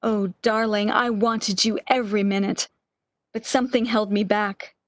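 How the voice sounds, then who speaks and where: A woman's voice: mid-range voice, steady tone, normal speed, dry recording, lightly acted. A woman playing a frail, fearful, lovesick woman, pleading emotionally in an amateur radio drama. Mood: yearning.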